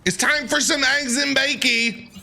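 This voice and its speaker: Guy streamer doing game reactions, high bright voice, playful n theatrical. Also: unhurried, creaky. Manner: playful, theatrical, talks back to characters. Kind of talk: gameplay reaction commentary.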